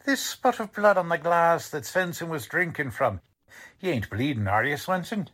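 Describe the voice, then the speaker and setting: A man playing a shrewd, suspicious investigator in a 1940s-style radio drama, questioning deliberately in colloquial, ungrammatical speech. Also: fairly high pitch, animated, a bit bright. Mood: concerned, businesslike. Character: shrewd streetwise male investigator, quietly suspicious.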